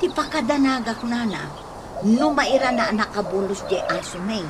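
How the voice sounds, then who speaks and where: Actress as Ilocano housewife in radio comedy, complaining, high voice, very noisy track with animal sounds. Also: female voice, animated, moderate pace, natural voice. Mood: grumbling. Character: village housewife grumbling about pilfered moringa. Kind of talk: comedy radio drama acting.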